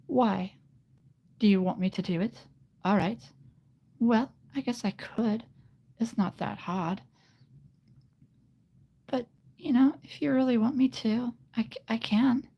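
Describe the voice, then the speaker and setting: A man's voice: extremely high pitch, slow, vocal fry, pauses often, mellow, pitched above the performer's own voice, exaggerated voice. A man putting on a chatty young woman's voice, breezy and a little hesitant. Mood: reluctant.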